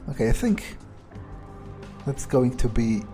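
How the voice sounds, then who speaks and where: A man doing in-character game commentary, thinking aloud in a deliberate, dryly musing manner. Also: mid-range voice, sing-song, dark tone, soft background music. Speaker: let's player role-playing a vampire character.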